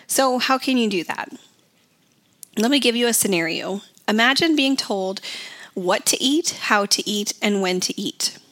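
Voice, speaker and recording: A woman hosting an educational podcast as a dietitian, her voice raspy from a summer cold, speaking in an earnest, advocating way. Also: mid-range voice, a bit bright.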